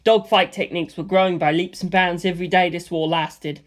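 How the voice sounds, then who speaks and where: A man narrating a first-person action story as an amateur audiobook reader, in an animated style. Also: high voice, a little fast.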